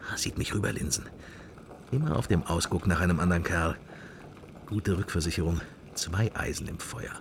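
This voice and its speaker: A man's voice: sits low, a little hiss or hum. A man playing a pensive middle-aged husband in a radio drama, wry and furtive, musing in clipped fragments. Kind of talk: radio drama inner monologue and dialogue.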